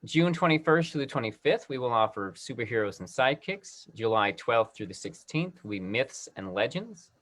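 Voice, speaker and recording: A man hosting an online talk-back and making announcements in a halting way, often repeating himself. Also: mid-range voice, animated, fairly bright tone. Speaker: host.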